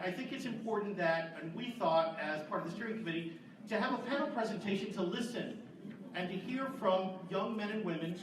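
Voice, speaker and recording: A priest in his fifties moderating a conference panel, speaking earnestly with frequent restarts. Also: high voice. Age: 50s. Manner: earnest, with restarts and repeated words. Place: large echoey conference hall. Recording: echoey hall, distant, audible noise.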